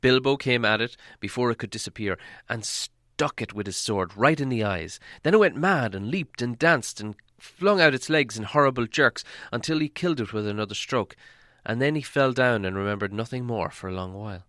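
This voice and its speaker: A man narrating a fantasy audiobook as dramatic storytelling, theatrical, with deliberate pauses. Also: medium pitch, a bit bright, no echo, studio quality.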